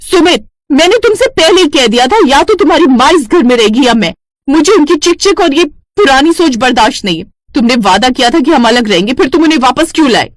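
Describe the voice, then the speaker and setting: A woman's voice: sits high, expressive, a little fast, dry recording, pitched above the performer's own voice. A woman voicing a self-assured, two-faced young neighbour wife in a cartoon family story. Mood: angry, demanding.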